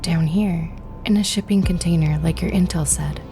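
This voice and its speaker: A woman playing a weary, wounded but caring agent in a sci-fi horror audio drama, with a breathy, earnest delivery. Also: lowish voice, fairly even intonation, moderate pace, warm tone, close to an everyday voice. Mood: urgent, wary. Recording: music under the voice. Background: music.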